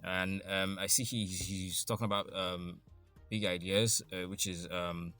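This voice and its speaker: A man's voice: sits low, steady tone, measured pace, bright, forceful tone, faint music behind. A man co-hosting a podcast in casual chat, rambling and restarting his thoughts as he thinks aloud. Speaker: podcast co-host.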